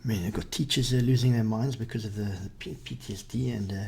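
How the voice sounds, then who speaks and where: A man's voice: fairly low pitch, fast talker, creaky, darkish tone, breathy edge. A middle-aged South African man with a South African accent, talking bluntly and laconically with crude jokes in a casual Q&A. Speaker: guest, father and ex-soldier. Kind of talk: casual podcast Q&A.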